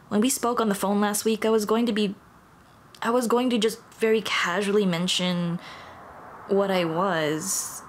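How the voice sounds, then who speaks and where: A woman's voice: medium pitch, lightly acted. Woman voicing a nervous, stammering monster girl, noisy ambience. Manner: hesitant, stammering, self-deprecating. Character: nervous, apologetic young female dullahan with a detachable head. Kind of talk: ASMR roleplay, intimate monologue to the listener.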